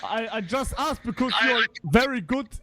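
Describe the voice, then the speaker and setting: High-voiced excitable young man. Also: a little hiss or hum. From Luxembourg. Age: 20s. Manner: excitable, repeats words in quick bursts. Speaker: streamer playing Roblox. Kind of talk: playful casual voice-chat banter.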